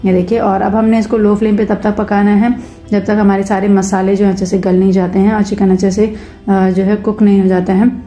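A woman's voice: medium pitch, level tone, fairly quick, dark tone, soft background music. A woman hosting her own cooking channel, talking through a recipe step by step in a casual voice-over and mixing English cooking terms into her sentences.

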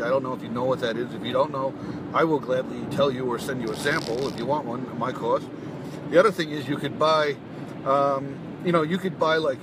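A middle-aged man with a New York accent, a fitness coach giving a casual, emphatic pep talk to his phone from a parked car. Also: medium pitch. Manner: chatty, emphatic, self-deprecating asides. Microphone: phone held close, front camera. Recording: car with clear road noise.

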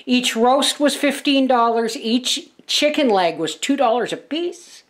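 A woman's voice: high voice, lively intonation, a little slow. An older woman, giving a casual, plain-spoken, folksy vlog narration in her home kitchen. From Canada. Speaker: homesteading vlogger and host.

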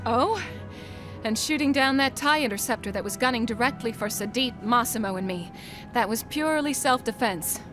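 An actress in an audio drama playing a tough, commanding rebel leader, assertive and sardonic. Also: female voice, fairly high pitch, normal speed, music in the background, close to an everyday voice. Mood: wry, challenging.

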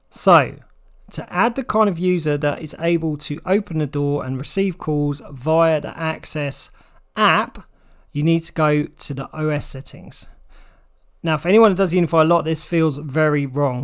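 Higher-voiced English man explaining tech. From United Kingdom. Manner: plain-spoken, step-by-step explaining. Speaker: tech tutorial presenter. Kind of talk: instructional walkthrough, informal explanation.

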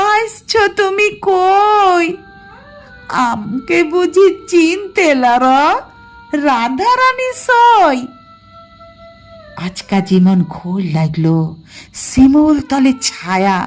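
A woman reciting dramatic poetry as a poor, ill-fated village girl in a rural western Bengal dialect, with a plaintive, emotive, drawn-out delivery. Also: extremely high pitch, sing-song, soft background music, pitched above the performer's own voice, exaggerated voice. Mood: dreamy.

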